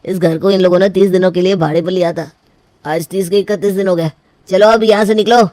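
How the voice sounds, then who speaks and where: A man voicing a brusque, impatient rent collector in an animated story, ordering tenants out. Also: high voice, brisk, dry recording, close to an everyday voice. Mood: dismissive.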